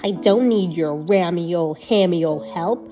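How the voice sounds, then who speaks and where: A woman voicing a grumpy, snarly old male buffalo in a storybook, chanting gruffly. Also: on the low side, measured pace, dark tone, soft background music, lightly acted. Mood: snappish. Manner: gruff, huffy, sing-song chant.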